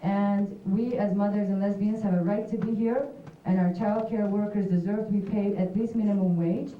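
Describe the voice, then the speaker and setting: A woman's voice: medium pitch, fairly even intonation, moderate pace, slight background noise. A woman giving an earnest, direct address at a stage microphone in a theatre. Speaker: festival audience speaker. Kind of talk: impassioned public address. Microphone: stage microphone heard from a distance. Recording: distant, muffled echoey tape recording.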